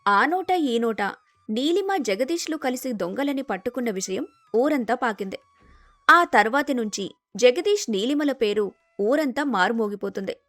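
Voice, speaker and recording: A woman's voice: a little high, animated, moderate pace, fairly bright tone, dry recording, soft background music. A woman storyteller reading a scripted story narration.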